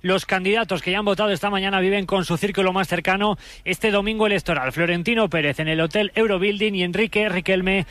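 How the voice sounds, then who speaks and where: A male sports reporter delivers a scripted radio report. His voice is high for a man and recorded dry, with almost no room sound. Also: steady tone, fast talker, a bit bright, no room sound.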